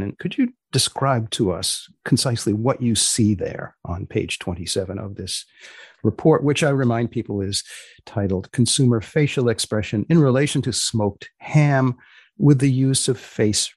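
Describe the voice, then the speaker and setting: A man hosting a comic science podcast in a dry, deliberate, deadpan way with mock-formal phrasing. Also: lowish voice, husky, dry recording. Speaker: podcast host.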